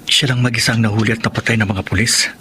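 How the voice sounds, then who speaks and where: A man's voice: fairly low pitch, steady tone, fast, sharp tone, slight background noise. A man playing a devoted, sentimental young adult son in a radio drama. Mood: worried, regretful. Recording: music behind the voice.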